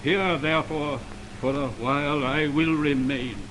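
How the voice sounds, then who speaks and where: A man's voice: fairly high pitch, fairly even intonation, measured pace, lightly acted. A man playing an ardent, spurned young lover, declaiming florid verse in a 1920s radio Shakespeare drama. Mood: bitter. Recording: noisy 1929 radio aircheck from optical film.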